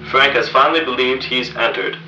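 A young man delivering solemn mock-suspense narration, measured, with dramatic pauses. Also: medium pitch, sharp tone, slight background noise. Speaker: host narrator. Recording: dull, echoey, slightly distant, music behind.

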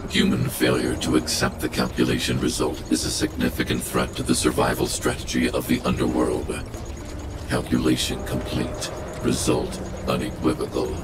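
An actor playing a towering, logical guardian robot in a video game, speaking formally and without emotion. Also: male voice, rumbling low, noisy background. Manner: formal, clipped, emotionless, calculating delivery. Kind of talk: video game character dialogue.

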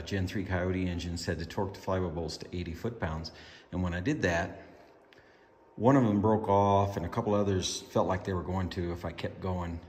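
A man with a deep voice talks casually through his car build in a garage workshop. He sounds easygoing and matter-of-fact as he explains things from behind the camera. The room rings with long reverb and has clear background noise. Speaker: hobbyist car builder presenting a build update. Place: indoor home garage workshop. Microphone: handheld camera, speaker behind it.

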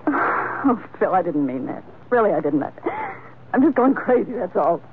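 Tinny vintage-radio femme fatale. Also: female voice, fairly low pitch, sing-song, moderate pace. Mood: overwrought, seductive. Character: desperate, seductive, pleading femme fatale. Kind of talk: 1940s radio melodrama acting. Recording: tinny, noisy 1940s radio recording.

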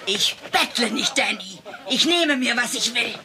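A woman's voice: fairly high pitch, animated, unhurried, a crowd in the background. A woman playing a seductive, pleading dream lover in a melodramatic sitcom scene. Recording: loud noise over dialogue.

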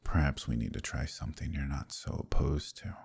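A man voicing an arrogant, scheming Demon King who taunts and menaces in an ASMR roleplay, often dropping to a husky whisper. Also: a bass voice, a little fast, darkish tone, heavily acted. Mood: coaxing. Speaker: voice actor.

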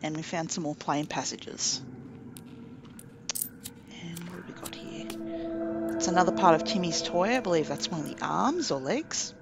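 Man, gravelly voice, chatty game commentary, hiss in the background. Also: on the high side, normal speed, long pauses. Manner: relaxed, chatty, thinking aloud while playing. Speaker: gaming commentator. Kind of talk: casual let's play commentary.

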